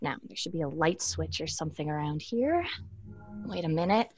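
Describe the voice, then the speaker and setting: A woman's voice: low voice, expressive, normal speed, darkish tone. A young woman playing a matter-of-fact, stubborn twin sister in a live horror audio drama. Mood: firm, matter-of-fact. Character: organized, stubborn twin sister, grieving her late father. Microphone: video call from home.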